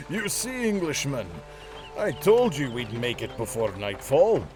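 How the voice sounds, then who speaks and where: A man's voice: on the high side, very animated, slow pace, soft background music. A man playing a worn, grumbling carriage driver in an audio drama. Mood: pleased. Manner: grumbling, deferential.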